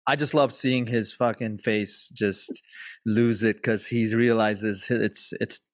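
A man hosting a casual podcast interview, talking in an animated way with lots of restarts and the odd swear word. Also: on the low side, slow pace, no room sound, studio quality. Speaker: podcast host.

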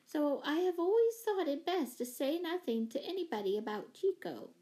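A woman's voice: high voice, very animated, normal speed, dark tone, voice pushed up. A woman voicing a fluttery, anxious, apologetic older spinster in a children's storybook, gushing and flustered. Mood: grateful, earnest.

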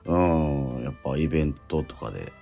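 A man, middle-aged, hosting a radio show in a casual, reflective way, thinking aloud in halting phrases. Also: a bass voice, vocal fry, warm tone, faint music behind.

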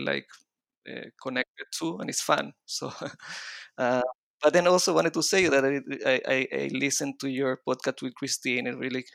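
A middle-aged man speaking with a blend of Israeli and Chilean Spanish accent, his voice carrying a gravelly edge. He talks as an interview guest, hesitant and restarting often, and the sound is close and dry. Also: mid-range voice, fairly bright tone, no echo. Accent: Israeli and Chilean Spanish mix. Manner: hesitant, frequent restarts and fillers. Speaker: guest designer. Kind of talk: casual interview conversation.

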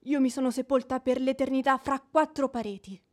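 An actress playing a proud, passionate young widow in a radio drama, melodramatic and haughty. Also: female voice, sits high, steady tone, fairly quick, close to an everyday voice. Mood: wounded, passionate. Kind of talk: theatrical Italian radio drama acting.

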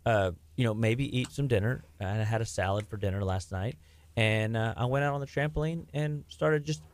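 A man's voice: medium pitch, moderate pace. A middle-aged man, a health practitioner, talking in a casual rambling way with lots of restarts and fillers. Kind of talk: casual podcast chat.

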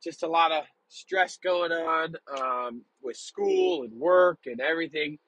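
A young man with a slightly high, mildly congested voice hosts a casual solo podcast. He talks informally and openly, feeling his way through his thoughts in a rambling manner. The sound is close and dry. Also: expressive, a little slow, vocal fry, a bit bright, no echo, rough recording. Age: young adult. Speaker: podcast host.

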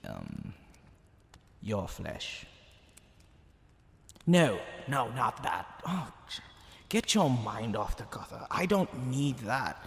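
Seductive demon king, performed by a man, gravelly, wildly swooping delivery, theatrical drawl, heavy reverb. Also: fairly low pitch, full character voice. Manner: drawling, theatrical, with whispered asides. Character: teasing, seductive, smug male demon king. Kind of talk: ASMR roleplay. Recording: long reverb, faint background noise.

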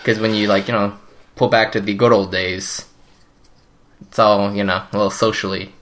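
A man's voice: lowish voice, little pitch movement, normal speed, a bit bright, slight background noise. A young man whose first language is Cantonese, chatting casually in a laid-back way, full of fillers and hedges. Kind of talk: casual chat.

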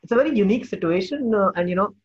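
High, husky male host, muffled. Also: lively intonation, very fast. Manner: affirming, effusive. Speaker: podcast host. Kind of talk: casual interview chat. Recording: muffled, dull lo-fi audio.

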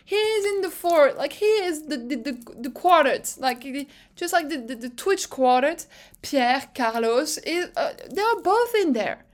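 A young woman with a high, bright-pitched voice chats through a casual recap as host. She rambles with lots of energy, circles back on herself, and laughs often. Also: lively intonation, a little slow. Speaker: podcast host.

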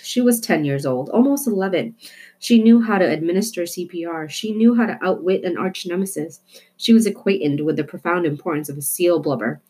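Woman reading a children's book aloud, lowish and breathy, playful emphasis. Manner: expressive storytelling, playful emphasis. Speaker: reader.

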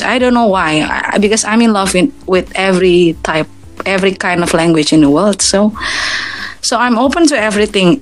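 A woman's voice: medium pitch, poor audio. A woman from Indonesia with an Indonesian accent, giving earnest advice in casual English chat, often restarting and repeating words.

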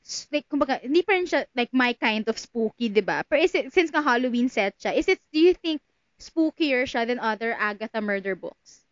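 A woman's voice: on the high side, lively intonation, brisk, dry recording, lo-fi sound. A Filipino woman co-hosting a podcast, chatting casually and teasingly as she switches between two languages. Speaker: podcast co-host. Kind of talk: casual bantering book chat in code-switched speech.

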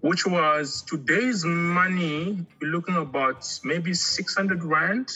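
A middle-aged man from Angola, raised in Congo, chatting casually as an artist guest in an interview, halting and often repeating words. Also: a little high, bright, forceful tone, husky, rough recording.

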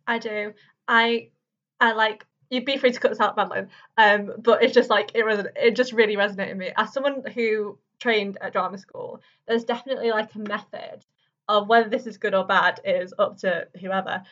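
A young woman hosting an interview, chatting casually in a tentative, halting way. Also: mid-range voice, fairly even intonation, a bit bright. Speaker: podcast host. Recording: distant mic, dull lo-fi sound.

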